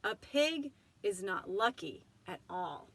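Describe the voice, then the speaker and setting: A woman's voice: mid-range voice, very animated, slow, a little hiss or hum. A woman voicing a stern, disapproving female neighbor in a storybook, curt and emphatic.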